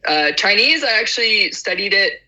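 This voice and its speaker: A woman's voice: mid-range voice, expressive, moderate pace, pushed and bright, rough recording. A woman in her mid-twenties with an American accent, chatting casually and often saying "like". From Georgia, United States. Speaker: polyglot guest. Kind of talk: casual chat.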